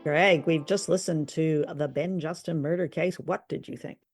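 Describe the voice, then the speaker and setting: Gravelly-voiced woman hosting a review chat. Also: low-pitched, fairly even intonation, moderate pace, faint music behind. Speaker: host and commentator. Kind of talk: conversational commentary.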